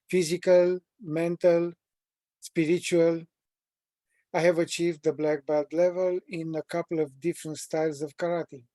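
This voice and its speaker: A man in his sixties from Romania, with a Romanian accent, presenting a training webinar at a measured, deliberate pace with frequent pauses. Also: fairly high pitch, dark tone, no echo. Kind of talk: prepared self-introduction in a training webinar.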